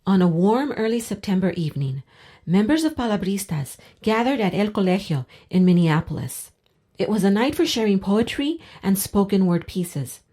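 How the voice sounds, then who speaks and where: A woman's voice: mid-range voice, animated, normal speed, dark tone. A woman radio reporter reading a scripted news narration.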